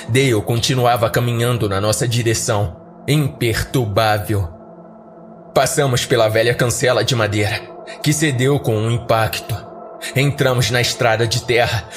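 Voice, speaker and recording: Male horror story narrator over soft music. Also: mid-range voice. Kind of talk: scripted first-person horror story narration. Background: faint music.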